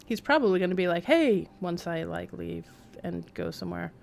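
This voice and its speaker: A woman's voice: lowish voice, expressive, moderate pace, gravelly, darkish tone. A woman giving casual live-stream game commentary, rambling as she thinks aloud, with wry asides.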